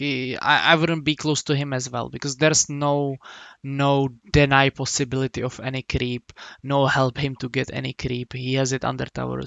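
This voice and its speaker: Gravelly-voiced man coaching. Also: mid-range voice, sharp tone, no echo. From Czech Republic. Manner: halting, many restarts and hums while explaining. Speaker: game coach. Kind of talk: casual coaching talk.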